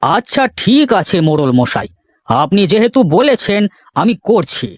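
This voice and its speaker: Man putting on an excitable, high-pitched fearful steward. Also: a little slow, no echo, clean studio sound, faint music behind, full character voice. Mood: obedient. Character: fearful, superstitious village steward, deferential and excitable. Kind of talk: Bengali cartoon voice acting.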